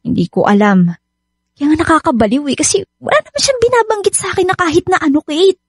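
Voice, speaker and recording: A woman's voice: high-pitched, very animated, quick pace, no echo, studio quality. A Filipino-accented woman acting in a radio drama as a hurt, suspicious young wife and mother who keeps insisting. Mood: worried, frustrated.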